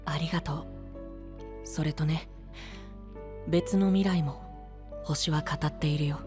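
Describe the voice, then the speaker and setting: An enigmatic young seer with a soft, soothing way of speaking. Each phrase is measured and gentle, in anime drama style, over clearly audible music. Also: male voice, a little high, steady tone. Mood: gentle foreboding. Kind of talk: Japanese anime voice drama acting.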